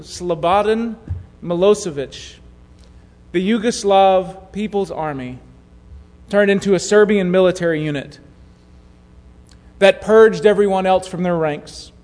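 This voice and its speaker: A man preaching a sermon, telling a story in a measured way that drops to a hush at key moments. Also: high-pitched. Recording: long hall reverb.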